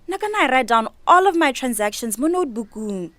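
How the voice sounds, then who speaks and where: A woman's voice: on the high side, fast talker, no room sound. An actress playing an ambitious, earnest young niece in a radio drama, a young woman with a Botswana accent. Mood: conciliatory. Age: young adult. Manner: animated, earnest, persuasive. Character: young, ambitious, organised niece starting a catering business. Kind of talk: radio drama acting, code-switching dialogue.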